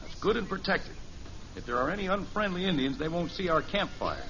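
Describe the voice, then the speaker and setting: Middle-aged American father, folksy and kindly, animated swooping delivery, 1950s radio sitcom, muffled hissy old recording. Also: high voice, brisk, heavily acted. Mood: gentle, reassuring. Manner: gentle, folksy, patient storytelling. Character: kindly middle-aged father playing make-believe with a child.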